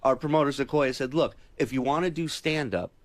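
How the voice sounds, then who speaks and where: A man's voice: mid-range voice, fairly quick, no echo. A man telling heated anecdotes in casual radio talk, exasperated and profane, acting out the dialogue he quotes. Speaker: stand-up comedian and staff guest on a radio show. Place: radio studio.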